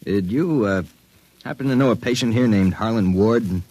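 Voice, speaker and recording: An actor playing a cool, wry private investigator, matter-of-fact in speech and narration, in a 1950s radio drama. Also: male voice, fairly low pitch, moderate pace, dark tone, dry recording, close to an everyday voice, poor audio. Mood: casual probing. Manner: matter-of-fact, hard-boiled.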